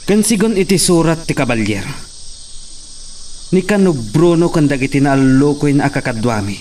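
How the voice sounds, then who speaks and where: Actor as menacing henchman, gruff, commanding, slightly high male voice, radio drama, off-mic with chirping. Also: animated, normal speed, darkish tone, far from the mic, lightly acted. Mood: stern. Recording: off-mic, faint noise with cricket-like chirping.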